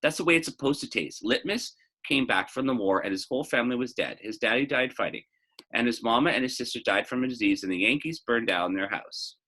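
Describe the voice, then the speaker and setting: A man's voice: medium pitch, animated, fairly quick, sharp tone, husky, rough recording. A man reading the part of an earnest, eager, stubborn young girl in a storybook, plain-spoken and insistent.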